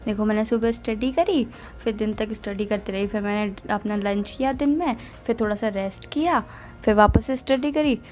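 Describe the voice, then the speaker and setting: A woman's voice: medium pitch, moderate pace, darkish tone. A young woman with an Indian accent chatting casually on a phone call, playful and a little defensive with her partner. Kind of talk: casual intimate phone chat. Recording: phone call recording, faint music.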